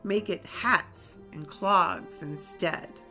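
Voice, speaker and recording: A woman voicing an earnest young storybook witch, chanting rhyming spells in a drawn-out singsong way. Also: lowish voice, bright, forceful tone, soft background music, heavily acted. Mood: incanting.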